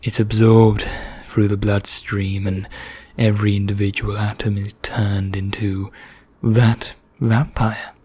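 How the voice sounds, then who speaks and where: A man playing a vain, condescending vampire in an ASMR roleplay, speaking formally with measured pauses in a husky, breathy voice that nears a whisper. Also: lowish voice, flat delivery, mellow. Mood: ominous, explanatory. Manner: slow, measured, formal, pompous, with deliberate pauses. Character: vain, condescending male vampire elder, calmly sinister.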